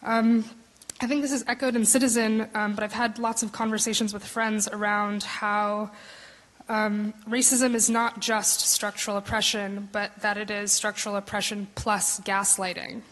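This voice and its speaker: Young woman talking flatly with many ums. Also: mid-range voice, moderate pace. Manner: tentative, many ums, searching phrasing. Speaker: panel discussant. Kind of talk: thoughtful talk from notes at a podium. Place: large concert hall stage. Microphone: podium microphone. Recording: long hall reverb.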